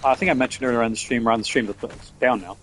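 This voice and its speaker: Newfoundland man, mid-pitched, streams games and rambles loosely while he plays, faint noise behind. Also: steady tone, racing, a bit bright, low-quality recording. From Newfoundland, Canada. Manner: rambling, offhand, talks while playing. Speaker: game streamer. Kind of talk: casual gameplay live-stream chat.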